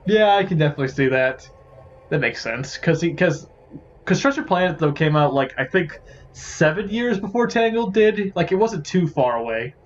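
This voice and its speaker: A man's voice: a little high, lively intonation, normal speed. A man giving casual, rambling gameplay commentary as host of a gaming series. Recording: dull lo-fi mic, faint music behind.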